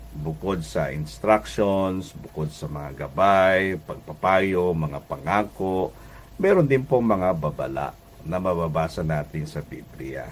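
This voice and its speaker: A Filipino man preaching a devotional radio message as pastor and host, deliberate and emphatic, often checking in with listeners. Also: sits low. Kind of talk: devotional radio sermon in conversational teaching tone.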